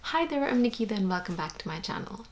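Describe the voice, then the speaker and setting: A woman's voice: medium pitch, very animated, fast. A young woman from India with an Indian accent, explaining things earnestly to camera in a casual vlog. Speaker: vlogger.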